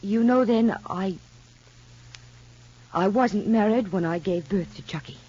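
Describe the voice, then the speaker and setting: An actress playing an anxious young mother in a radio soap opera, speaking politely and hesitantly in measured phrases. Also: mid-range voice, warm tone. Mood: anxious, confiding. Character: anxious young mother confiding in child psychologist. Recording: muffled, husky-sounding old radio recording.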